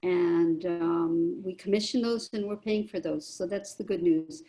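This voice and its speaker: Woman, lowish voice, warm and mellow, slow and thoughtful, video call check-in. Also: fairly even intonation, rough recording. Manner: thoughtful, unhurried, many fillers. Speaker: arts producer.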